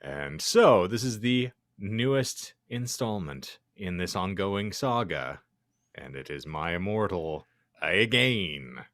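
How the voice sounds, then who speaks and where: A man's voice: sits low, lively intonation, slow pace, fairly bright tone, dry recording, clean studio sound. A man hosting a podcast, bantering in florid, wordy phrasing with a sardonic edge. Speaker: podcast host.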